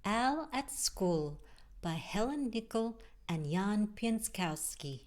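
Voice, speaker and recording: A woman's voice: medium pitch, animated, slow pace. A woman reading a picture book aloud to children, adding chatty asides about the pictures and putting questions to her young listeners.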